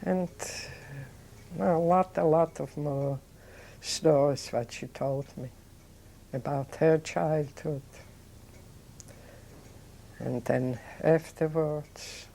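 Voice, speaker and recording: An older woman, recalling her childhood in an oral history interview, talking in a halting, reflective way with many restarts. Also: low-pitched, steady tone, vocal fry, warm tone, slight background noise, rough recording. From Poland. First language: Yiddish. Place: indoors, seated on a sofa.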